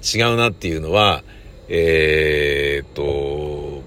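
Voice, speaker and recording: A man's voice: extremely low pitch, takes it slowly, a bit bright. A middle-aged man in a casual, rambling solo chat, blunt and slangy, acting out his own reactions as he tells stories. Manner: blunt, slangy, self-deprecating. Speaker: audio drama producer and recordist.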